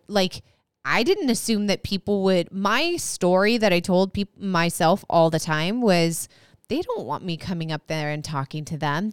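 Casual podcast co-host, woman with a high, very clear voice, dry close sound. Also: dry recording. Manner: chatty, rambling with restarts. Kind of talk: casual chat.

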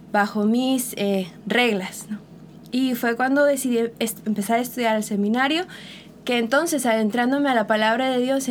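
A woman's voice: fairly high pitch, slight background noise. A woman from Chiapas, sharing an earnest, reflective personal testimony as a missionary guest. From southern Mexico, Chiapas.

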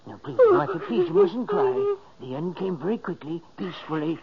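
An actor playing a caring manservant who gently soothes others in an old radio drama. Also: male voice, very high voice, huge pitch swings, moderate pace, creaky, muffled recording, a loud crowd, exaggerated voice. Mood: soothing. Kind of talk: 1940s radio acting. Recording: noisy old radio recording.

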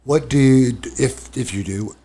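A man's voice: medium pitch, unhurried, dark tone. A man co-hosting a podcast, talking bluntly and sarcastically in casual chat on a studio mic. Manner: sarcastic, blunt, swears freely. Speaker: podcast co-host.

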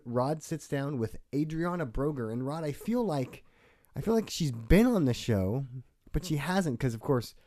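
A man co-hosting a local podcast, upbeat and halting with fillers. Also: mid-range voice, warm tone, no room sound, studio quality. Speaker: podcast co-host. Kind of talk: casual podcast chat. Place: small podcast studio.

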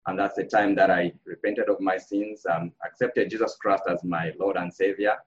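Man from zambia, panelist, testimony, measured and reflective, low voice, dull video-call sound. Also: steady tone. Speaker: congregation member, panelist. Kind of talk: personal testimony.

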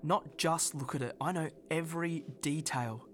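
A man's voice: fairly high pitch, moderate pace, lightly acted. An actor playing a bitter, proud male office worker who has lost his job, in emphatic, volatile drama dialogue. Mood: tender, wistful. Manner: volatile, emphatic, often profane, repeats phrases. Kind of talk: dramatic stage-play dialogue. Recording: noticeable background noise.

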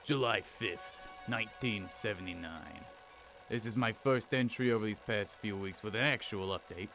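A man's voice: fairly low pitch, normal speed, music in the background, close to an everyday voice. A man playing an earnest, brooding police detective with a poetic streak in an audio drama. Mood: matter-of-fact. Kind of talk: audio drama acting with noir-style diary narration.

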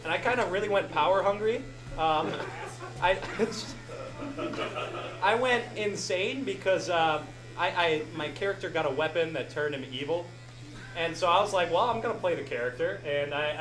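A man's voice: fairly high pitch, moderate pace, a bit bright, roomy sound, low-quality recording. A young man hosting a podcast, answering audience questions in a casual, rambling, self-deprecating way with frequent laughs. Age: young adult. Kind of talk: casual live Q&A.

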